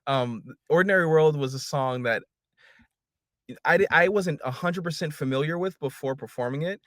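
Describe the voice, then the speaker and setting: A man, a singer, guesting on a podcast, chatting warmly and gratefully and laughing often. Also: a little high, moderate pace, dry recording, clean studio sound. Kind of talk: casual interview chat.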